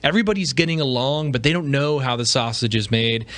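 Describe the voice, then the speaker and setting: A man's voice: medium pitch, fast talker, fairly bright tone. A man hosting a podcast with casual solo commentary, talking informally and conversationally with rhetorical asides. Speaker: podcast host.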